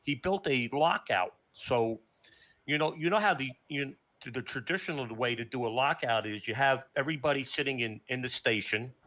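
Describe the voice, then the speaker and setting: A man's voice: medium pitch, moderate pace, pushed and bright, rough recording. A man explaining a technical setup in casual conversation, as a plain-spoken station operator. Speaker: remote ham radio station operator.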